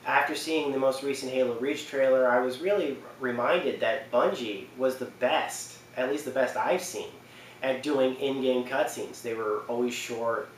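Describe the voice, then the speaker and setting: Male gaming host, casual, halting, rambling, mid pitch, echoey room, off-mic. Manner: halting, rambling, repeats himself. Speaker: host of a gaming video countdown. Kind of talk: casual unscripted commentary. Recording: roomy, distant mic, faint noise.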